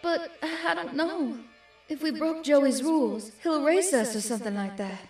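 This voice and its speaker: A woman's voice: high voice, moderate pace, reverberant, far from the mic, faint music behind. A woman voicing an anxious, apologetic young cartoon angel in a comic dub, sighing, hesitant and emotional. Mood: fearful, sorrowful.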